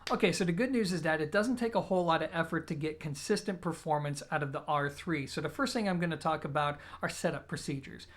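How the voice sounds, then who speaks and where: A man speaking as a product reviewer, explaining in a steady, relaxed way. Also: fairly high pitch. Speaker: audio equipment reviewer.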